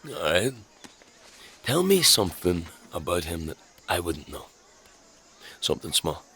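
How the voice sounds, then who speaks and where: A troubled fantasy scavenger with a deep, gravelly voice. He speaks slowly and haltingly, with dry sarcasm and a husky edge, as in a dark audio drama. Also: big pitch swings, full character voice. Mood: curious, earnest. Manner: hesitant, halting, dryly sarcastic. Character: gruff, troubled young male scavenger with inherited powers, wry.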